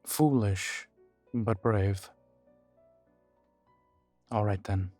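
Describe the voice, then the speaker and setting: A man playing an ancient vampire in an audio roleplay, guarded, weary and grave, speaking in a measured, deliberate way with dramatic pauses. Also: lowish voice, darkish tone, no room sound, soft background music. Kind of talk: scripted audio roleplay addressed to the listener.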